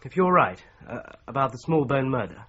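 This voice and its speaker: An actor playing a discreet, thoughtful British amateur investigator in a radio drama, with a husky voice. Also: male voice, mid-range voice, very animated, fairly quick, a bit bright, dull audio, exaggerated voice. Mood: pondering.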